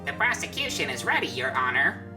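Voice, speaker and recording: A woman's voice: deep voice, sing-song, a little fast, distant mic, music in the background. A woman voicing a blunt, exasperated prosecutor in a video game parody courtroom skit. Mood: scornful, exasperated. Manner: blunt, incredulous.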